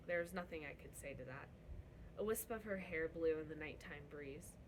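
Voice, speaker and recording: A woman reading a novel aloud as a first-person narrator, in an expressive storytelling style. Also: sits low, moderate pace, background noise. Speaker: book reader.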